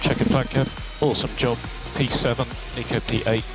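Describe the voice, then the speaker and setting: A man's voice: medium pitch, level tone, fairly quick. A man working as a race engineer, giving briefings over team radio. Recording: radio transmission under loud music. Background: loud music and car noise.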